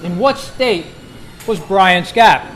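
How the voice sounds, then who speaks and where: A man with a notably high voice acts as quizmaster for a club gathering. He reads each trivia question out deliberately, in short phrases, and repeats it for the group. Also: expressive, a bit bright, a little hiss or hum, poor audio. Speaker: quiz host at a club meeting.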